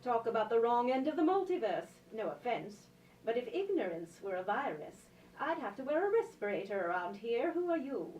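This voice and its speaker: Pompous professor, roomy distant recording. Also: female voice, a little high, sing-song, fairly quick, darkish tone. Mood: haughty. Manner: pompous, dramatic. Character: eccentric, haughty, theatrical female professor of the multiverse. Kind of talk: radio play acting. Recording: roomy, distant mic with faint noise.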